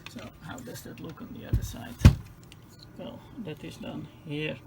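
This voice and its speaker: A man giving an informal hands-on technical tutorial, speaking haltingly as he searches for words. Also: a little high, level tone, vocal fry, heavy background noise. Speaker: presenter of a radio repair tutorial.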